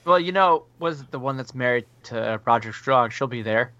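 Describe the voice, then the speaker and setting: A man in his thirties co-hosting a casual wrestling podcast, quick and joking, a bit scattered. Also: medium pitch, lively intonation, sharp tone. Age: 30s. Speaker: podcast co-host. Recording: dull lo-fi podcast audio.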